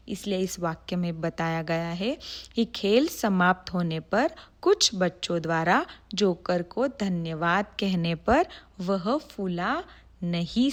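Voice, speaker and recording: A young woman teaches a children's lesson, reading each sentence and repeating it. She speaks very slowly, at a middle pitch, and keeps checking with questions. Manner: slow, repeats sentences, asks pupils questions. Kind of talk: explaining Hindi idioms to children.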